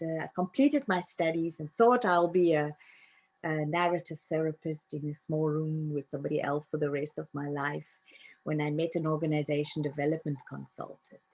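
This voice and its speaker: Thoughtful South African woman, lower voice. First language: Afrikaans. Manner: thoughtful, with frequent fillers and soft laughs. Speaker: guest, narrative coach. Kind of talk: reflective interview conversation.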